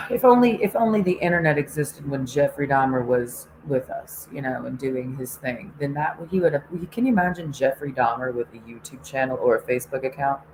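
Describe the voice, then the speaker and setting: Low-voiced woman ranting sarcastically. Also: brisk, a little mellow, a little hiss or hum, rough recording. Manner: sarcastic, laughs often, swears freely. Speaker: online show host. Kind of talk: casual ranting monologue.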